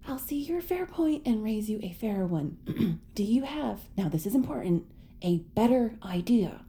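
A woman's voice: medium pitch, animated, normal speed, dark tone, natural voice. An actress playing a wry, pleading young woman survivor, wordy and self-mocking, in an audio drama. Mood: wry, urgent.

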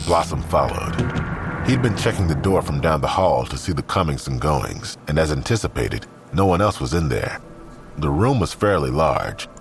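A man's voice: extremely low pitch, a little hiss or hum. A man narrating a scripted audio drama. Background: music.

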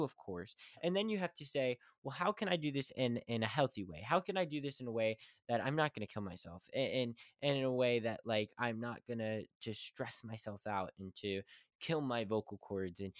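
A young man hosts his weekly show, chatting about acting in a loose, rushed way. He trips over his words and restarts sentences often. His voice sits at an ordinary pitch, and the recording is dry and close. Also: no room sound. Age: young adult. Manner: fast, stumbling, restarting often. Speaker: podcast host. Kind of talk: casual talk about acting.

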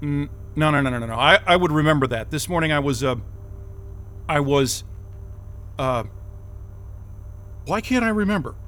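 Bewildered job candidate, higher male voice, halting and stammering, very varied pitch, audio drama. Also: lively intonation, fairly bright tone. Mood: confused, uneasy.

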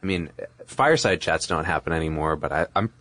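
A man's voice: very deep voice, animated, fairly quick, fairly bright tone, dry recording. A man co-hosting a show, chatting casually and reacting to what he hears. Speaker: podcast co-host.

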